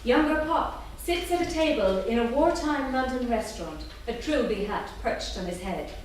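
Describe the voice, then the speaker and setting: A woman's voice: a little high, lively intonation, moderate pace. A woman reading scripted stage directions at a staged play reading, recorded in a theatre. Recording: echoey room from far off, faint noise.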